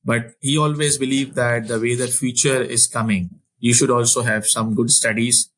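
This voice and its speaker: A man in his forties recounts his career as an interview guest, speaking earnestly at a middle pitch. He often restarts and repeats words as he gathers his thoughts. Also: steady tone, moderate pace, a bit bright, low-quality recording. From Saudi Arabia. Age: 40s. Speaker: finance executive guest. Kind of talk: conversational interview storytelling.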